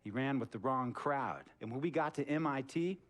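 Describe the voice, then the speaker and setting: A man's voice: mid-range voice, expressive, normal speed, close to an everyday voice. Eccentric wealthy boss, middle-aged male actor, controlling and slick, theatrical storyteller for a game cutscene. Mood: reflective. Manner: theatrical, storytelling, swinging from hushed to emphatic. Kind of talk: dramatic game cutscene monologue.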